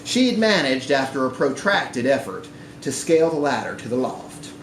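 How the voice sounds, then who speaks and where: A man reading literary fiction aloud to a live audience in a bar, with an expressive, theatrical delivery. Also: mid-range voice, normal speed. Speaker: story reader at a live literary event. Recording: echoey live room recording with background noise.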